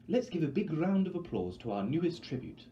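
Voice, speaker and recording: A man's voice: a little high, big pitch swings, brisk, mellow, highs cut off, a little hiss or hum, pitched above the performer's own voice. A man voicing a gushing, prim woman master of ceremonies in a trilling, theatrical manner for a story reading. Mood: gushing, bubbly.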